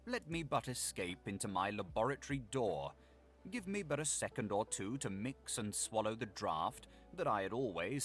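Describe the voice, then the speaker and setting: A man playing a grave, scholarly Victorian gentleman confessing his secret evil in a formal, theatrical audiobook narration. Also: lowish voice. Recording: loud vehicle and machinery noise behind. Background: vehicle and machinery noise from a video game.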